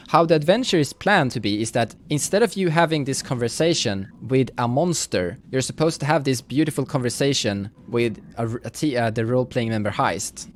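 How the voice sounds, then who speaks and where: A man with a middle-range voice works as game master of a live tabletop role-playing session. He explains the story to his players in a halting way, often rewording as he goes. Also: mid-range voice, slight background noise.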